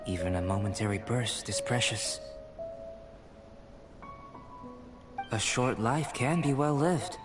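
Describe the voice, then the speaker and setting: A man playing a calm, scholarly young alchemist in a game, speaking in a measured, formal, philosophical way over music. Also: mid-range voice, lightly acted. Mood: reflective. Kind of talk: scripted game dialogue. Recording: background music behind the voice.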